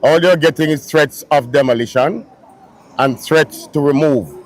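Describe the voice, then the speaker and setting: A man's voice: fairly high pitch, unhurried. A man speaking articulately and seriously for his community.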